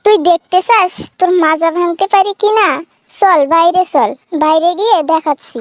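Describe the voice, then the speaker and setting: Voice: extremely high pitch, moderate pace, dry recording. A performer voicing a fierce, sarcastic village wife in a comic cartoon, taunting sharply in a rural Bangladeshi Bengali accent. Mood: threatening, defiant. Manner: taunting, sharp-tongued, threatening. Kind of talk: comic cartoon dialogue, exaggerated bickering.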